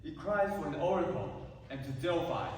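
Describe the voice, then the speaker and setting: A man's voice: fairly high pitch, normal speed, darkish tone, lightly acted. A man playing a troubled young prince in a declaimed stage reading, recorded in an echoey hall from far off. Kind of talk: reader's theatre declamation. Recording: echoey hall, distant, faint noise.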